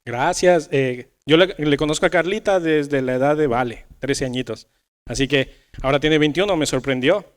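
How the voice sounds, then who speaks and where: Clear, higher-pitched male podcast host. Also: normal speed. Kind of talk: conversational church youth podcast hosting.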